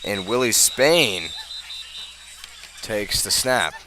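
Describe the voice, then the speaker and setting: American guy doing radio football play by play, lowish voice, steady then punches up big plays. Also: drawn-out words, a little hiss or hum. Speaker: play-by-play announcer. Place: outdoors at a high school football game.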